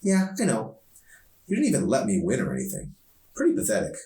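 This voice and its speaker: A man's voice: medium pitch, wildly swooping pitch, brisk, mellow, voice pushed up. Man voicing a sarcastic teenage girl, echoey room. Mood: teasing. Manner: teasing, sarcastic. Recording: echoey room, distant mic.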